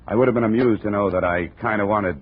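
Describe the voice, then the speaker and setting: An actor playing a wry, hard-boiled insurance investigator in a 1950 radio detective drama, deadpan and wisecracking. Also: male voice, fairly low pitch, fast, slightly rough. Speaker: narrator and lead actor. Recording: tinny, noisy old radio broadcast.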